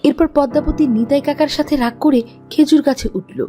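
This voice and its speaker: Female storyteller for a cartoon fairy tale, high-pitched voice, scripted narration. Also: fairly even intonation, brisk, mellow, soft background music.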